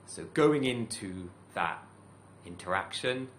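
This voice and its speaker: Calm, deep-voiced man. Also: a little hiss or hum. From United Kingdom. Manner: calm, thoughtful, measured with pauses. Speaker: teacher and channel host. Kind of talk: reflective solo talk to camera.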